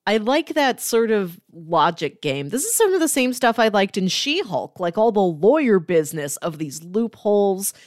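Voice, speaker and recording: A woman's voice: mid-range voice, very animated, moderate pace, few pauses, darkish tone, no echo, clean studio sound. A woman co-hosting a podcast, talking casually about books and explaining through listed examples. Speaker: podcast co-host. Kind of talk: casual book discussion.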